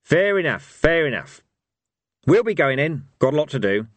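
Man doing a bold old barbarian chief, swooping pitch. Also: very animated, normal speed, no echo. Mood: hearty, defiant. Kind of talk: audiobook character voice.

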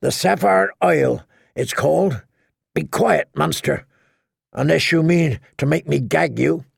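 A man's voice: fairly high pitch, a little slow, gravelly, husky, dry recording, clean studio sound, exaggerated voice. A man voicing a blunt, dour warrior noblewoman in an audiobook, with stiff, terse delivery. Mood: stiff, defiant.

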